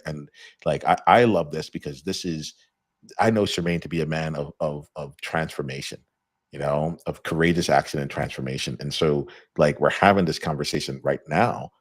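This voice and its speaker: Deep-voiced man on a live chat. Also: dry recording, clean studio sound. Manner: rambling with many restarts and fillers. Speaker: host. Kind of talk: casual live conversation.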